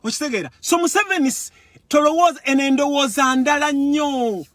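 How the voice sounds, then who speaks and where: A man's voice: very high voice, a little fast, a bit bright, no room sound. A Ugandan man giving an impassioned political monologue. He is emphatic, repeats words for force and switches between languages. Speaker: political commentator. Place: Europe.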